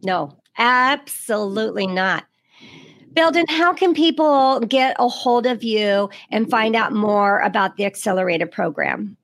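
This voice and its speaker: A woman's voice: medium pitch, lively intonation, unhurried, fairly bright tone, no room sound. A woman in her fifties hosting a podcast interview, chatty and full of "you know"s. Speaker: podcast host.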